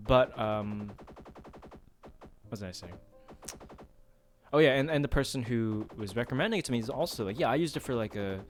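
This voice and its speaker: A man chatting casually and informally on a livestream as he plays, with a self-deprecating manner. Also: on the low side. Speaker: streamer. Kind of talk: casual livestream chat while gaming.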